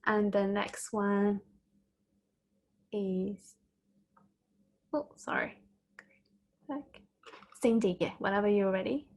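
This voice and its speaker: A woman's voice: mid-range voice, slow, pauses often. Woman host moderating a video panel. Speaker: event host. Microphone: video call.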